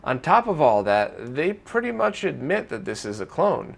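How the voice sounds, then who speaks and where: A man's voice: fairly high pitch, sing-song, normal speed, creaky. A man presenting an informal retro gaming news roundup in a conversational, opinionated way.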